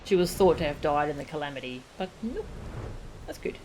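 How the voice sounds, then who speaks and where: Low-pitched woman streaming a game. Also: expressive, brisk, a little mellow, slight background noise, low-quality recording. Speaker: game streamer reading dialogue aloud. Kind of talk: casual livestream commentary. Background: game music.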